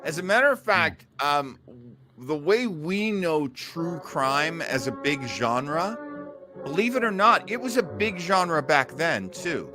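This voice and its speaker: A man's voice: on the high side, very animated, takes it slowly, music in the background. A man hosting a show, chatting casually. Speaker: show host. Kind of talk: casual talk-show chat.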